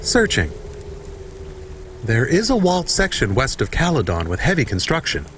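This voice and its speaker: An actor voicing a polite, anxious artificial companion in a video game, terse and precise with stilted wording. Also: male voice, medium pitch, wildly swooping pitch, moderate pace, noisy background. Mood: helpful.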